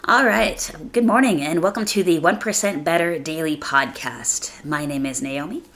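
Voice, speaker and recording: A woman hosting a casual motivational podcast, speaking conversationally and earnestly, slightly raspy from a chest cold. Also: low voice, moderate pace, a bit bright. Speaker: podcast host.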